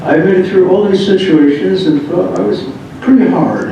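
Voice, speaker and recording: A man reads a written first-person memoir aloud, halting at times. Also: creaky. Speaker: reader of a memoir. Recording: muffled, very echoey room, distant mic, rumbling noise.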